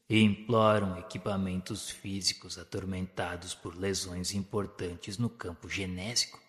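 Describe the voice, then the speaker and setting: A man narrating a spiritual radio drama in a solemn, deliberate way. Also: low voice, long reverb tail.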